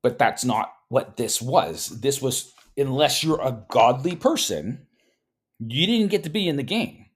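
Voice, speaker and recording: A man's voice: medium pitch, expressive, a little slow, breathy edge. A man reading a history book aloud, stumbling and repeating words now and then, and breaking off into casual spoken asides. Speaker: host reading from a book and commenting.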